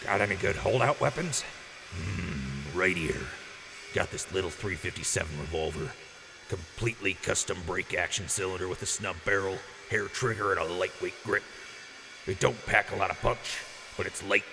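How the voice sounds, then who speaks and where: A man's voice: normal speed, gravelly. A man playing a boisterous, generous wasteland quartermaster in an audio drama, with a hyped showman's delivery. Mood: proud, easygoing. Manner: hyped showman with booming catchphrase outbursts. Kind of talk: post-apocalyptic audio drama acting. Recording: noisy, reverberant mix.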